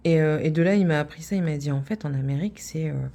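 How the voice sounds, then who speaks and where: A woman's voice: sits low, quick pace, mellow. A middle-aged woman from France, hosting a craft channel and chatting casually, rambling with many fillers and restarts.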